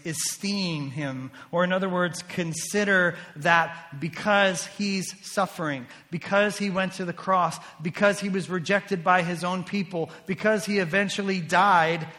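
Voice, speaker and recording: A man preaching with a bright, forceful voice that sits a little high. He delivers his sermon emphatically, swinging from loud declarations to hushed intensity. His words ring out with a long reverb, as if in a large hall. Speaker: pastor.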